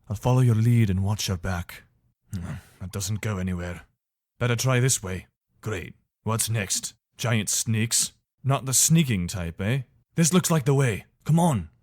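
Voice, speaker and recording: A man's voice: mid-range voice, darkish tone, dry recording, studio quality, close to an everyday voice. A man playing a dutiful, weary but decent imperial soldier in a fantasy video game, speaking earnestly in short, commanding phrases. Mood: wary, practical. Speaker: voice actor for a game mod.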